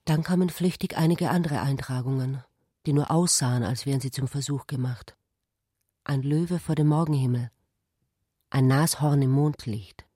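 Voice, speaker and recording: An actor playing a quiet narrator of philosophical notes in a literary radio play, hushed and deliberate. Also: mid-range voice, husky, no room sound, studio quality, close to an everyday voice. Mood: quiet, detached.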